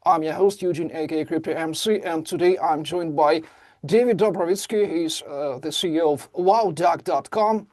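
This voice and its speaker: A man who speaks Russian natively hosts a podcast interview, enthusiastic and a little halting in his phrasing. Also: on the high side, a little mellow, no room sound, clean studio sound. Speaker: podcast host. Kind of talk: casual interview hosting.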